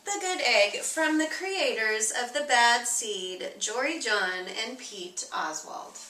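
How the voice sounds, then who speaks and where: A woman teacher doing an expressive storybook read-aloud for young children, with casual asides. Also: on the high side, slow pace, a little hiss or hum. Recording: echoey room, distant microphone.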